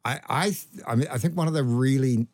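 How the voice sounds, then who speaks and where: A man in his seventies with a Canadian accent, speaking as a guest in a conversational interview, rambling with restarts and trailing off quietly. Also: medium pitch, fairly quick, no room sound, clean studio sound. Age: 70s. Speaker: guest, medical professor.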